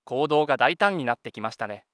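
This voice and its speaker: A man's voice: mid-range voice, lively intonation, fast, very noisy, lightly acted. A young man playing an earnest junior detective in an audio drama, politely complaining to his senior and often exasperated. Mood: exasperated, curious.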